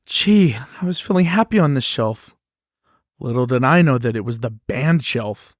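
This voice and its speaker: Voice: mid-range voice, animated, moderate pace, no echo, studio quality, lightly acted. An actor in a musical puppet show voicing an anxious, earnest children's book that pleads its case expressively. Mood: alarmed, dismayed. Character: earnest, anxious award-winning children's book facing a ban.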